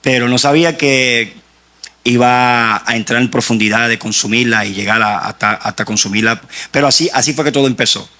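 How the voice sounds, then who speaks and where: A man from Puerto Rico with a Puerto Rican accent, a guest author giving his personal faith testimony in an earnest, halting way. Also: mid-range voice, bright, forceful tone.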